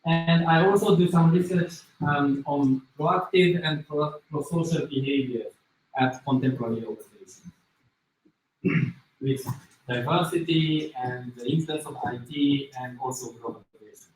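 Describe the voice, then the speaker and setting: A man with a Japanese accent lecturing to a class in a deliberate, halting way, as a native Japanese speaker. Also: on the high side, soft background music. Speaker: business school lecturer. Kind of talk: interactive demo lecture with self-introduction. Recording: very echoey room, distant mic, faint noise.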